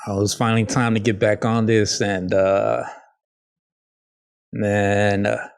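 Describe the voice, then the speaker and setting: Guy doing a solo podcast, really slow n rambling, smooth low voice, bit of a lisp. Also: level tone. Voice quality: slight lisp. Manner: halting, rambling, informal. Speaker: podcast host. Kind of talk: casual solo podcast monologue.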